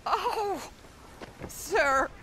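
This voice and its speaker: An actress playing a grateful, tender nun who gushes with gratitude. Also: female voice, very high-pitched, expressive, drawn-out words, pushed and bright, a little hiss or hum, heavily acted. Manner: gushing, breathy gratitude. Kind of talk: video game cutscene acting.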